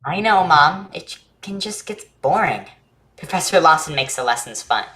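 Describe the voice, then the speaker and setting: A woman's voice: low voice, animated, normal speed, a bit bright. A woman playing an earnest, literal-minded schoolchild in a staged play, speaking plainly and inquisitively. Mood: curious. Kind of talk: staged reading of a science fiction play.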